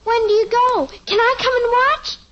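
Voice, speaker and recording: A woman's voice: steady tone, normal speed, a bit bright. An actress playing a nosy little girl who pesters her older sister, in 1950s radio sitcom acting. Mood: teasing. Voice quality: piping high child voice. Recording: dull old radio recording.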